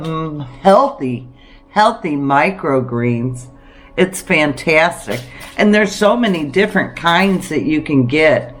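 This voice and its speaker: A woman talking casually to camera about practical living as a homesteading vlogger, encouraging and emphatic, with deliberate pauses. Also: on the low side. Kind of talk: casual preparedness talk to camera.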